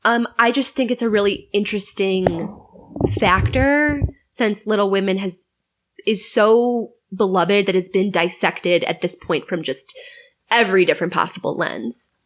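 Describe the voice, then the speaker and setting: A woman guest on a podcast, chatting casually and offering her views with expressive emphasis. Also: mid-range voice, a little slow. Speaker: podcast guest, host of her own show. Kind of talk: casual chat, opinionated discussion. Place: in-person recording around a table.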